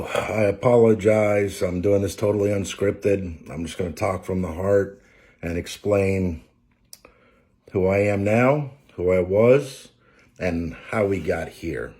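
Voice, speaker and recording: Man, 40s, Maine accent, low voice; unscripted emotional testimony, hesitant delivery. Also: a little mellow. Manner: halting, many fillers and restarts; emotional, close to tears. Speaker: trucker and preacher.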